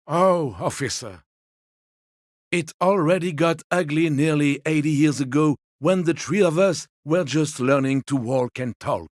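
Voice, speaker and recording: A man's voice: on the high side, no echo, clean studio sound. An actor playing a lonely old man in a video game, wry and wistful, with a fond bitterness and salty tongue. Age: older. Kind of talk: video game character dialogue.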